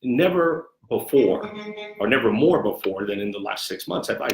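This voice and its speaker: In a roomy, echoing recording, a man with a lowish voice gives interview answers. He speaks as a sports executive, measured and conversational, often circling back over his phrases. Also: expressive, vocal fry, a little mellow, slight background noise. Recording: echoey, roomy sound.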